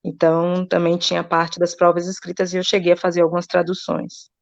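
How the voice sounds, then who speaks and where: A woman's voice: fairly low pitch, completely flat delivery, creaky, no echo. A woman recalling her family's role in a project, reflective and full of "né" tags. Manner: slow, reflective, many "né" tags. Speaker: guest, daughter of the master. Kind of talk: storytelling in interview. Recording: dull dry sound.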